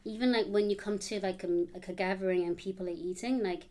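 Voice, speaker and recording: A woman's voice: medium pitch, steady tone, fast, darkish tone. Chatty young woman from Uganda. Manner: chatty, lots of likes and ums. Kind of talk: casual chat.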